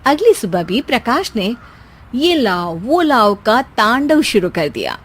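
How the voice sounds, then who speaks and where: A woman narrating a cartoon moral story in calm, scripted storytelling. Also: fairly high pitch, slow, husky.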